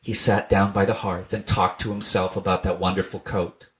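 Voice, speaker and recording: A man's voice: sits low, little pitch movement, moderate pace, a bit bright. A man reading a children's tale aloud as scripted storybook narration. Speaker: storybook reader.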